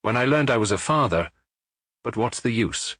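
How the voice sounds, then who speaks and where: Man acting a husky, anguished middle-aged man confessing. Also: mid-range voice, expressive, moderate pace, a bit bright, no echo, studio quality.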